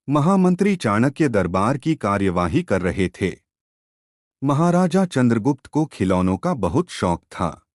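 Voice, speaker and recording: A man narrating a scripted folk tale as a storyteller, in a measured, expressive audiobook manner. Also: fairly low pitch, dry recording, studio quality.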